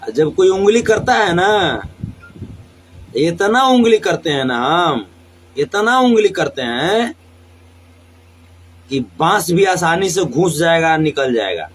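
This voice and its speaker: A man with a high-pitched voice and a Bhojpuri accent rants to a live social media audience. He is combative and defiant, hammering phrases by repeating them. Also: sing-song, slow, long pauses, slight background noise. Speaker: singer.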